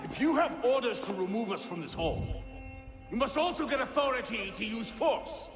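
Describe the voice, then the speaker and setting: An actor playing a defiant, impassioned revolutionary orator in a video game, projecting in a declamatory style. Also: male voice, very high voice, animated, normal speed. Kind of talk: video game cutscene acting. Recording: echoing large hall, music behind.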